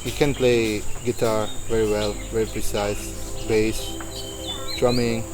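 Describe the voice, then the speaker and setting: Indonesian man, halting casual speech. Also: lowish voice, level tone, music in the background. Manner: searching for words, many likes and uhs. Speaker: musician. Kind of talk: casual interview answer.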